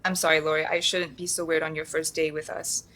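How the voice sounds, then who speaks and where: A woman's voice: sits low, flat delivery, a little fast, a bit bright. A woman acting in an audio drama as an uneasy, earnest, skeptical support group member who speaks hesitantly. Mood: uneasy, apologetic. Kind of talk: conversational audio drama acting, live recording.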